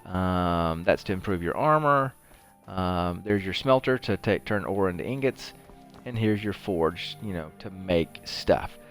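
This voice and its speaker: Deep-voiced man rambling through gameplay commentary. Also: expressive, measured pace, soft background music. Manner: rambling, conversational, thinking aloud. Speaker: host of a video game playthrough show.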